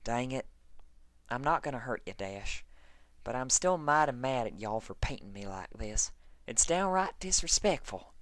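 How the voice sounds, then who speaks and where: A woman's voice: extremely low pitch, expressive, normal speed, dry recording. A woman voicing an indignant, folksy female farm pony in a cartoon fan fiction audio reading, stern and exasperated.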